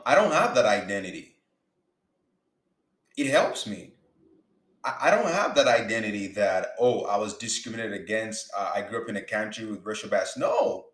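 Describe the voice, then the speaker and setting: A man's voice: fairly low pitch, a bit bright. Earnest man from Uganda, roomy echo. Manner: earnest, repeats words when emphasizing. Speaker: faith and business speaker. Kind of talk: motivational talk.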